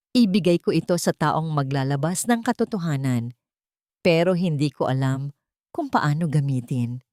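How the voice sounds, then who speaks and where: A woman's voice: deep voice, mellow, dry recording, clean studio sound. A woman voicing an earnest old male labourer, solemn and plain-spoken, in an audio story. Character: earnest old male former construction worker, righteous.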